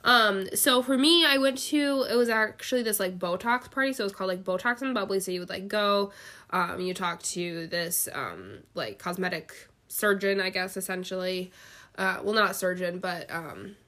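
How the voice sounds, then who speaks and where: A woman's voice: mid-range voice, moderate pace, sharp tone. A woman co-hosting a podcast in a casual, chatty storytelling way, slightly stuffed up with a head cold and full of filler words and quoted dialogue. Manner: chatty, filler-heavy, quotes people animatedly. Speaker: podcast co-host. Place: bedroom, sitting on a bed.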